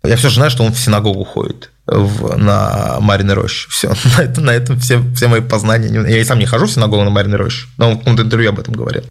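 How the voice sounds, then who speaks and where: Low-voiced man musing through viewer questions. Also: fairly even intonation, quick pace, vocal fry, hardly any pauses. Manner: hesitant, many fillers, wry asides. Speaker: host answering viewer questions. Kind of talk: casual live Q&A stream.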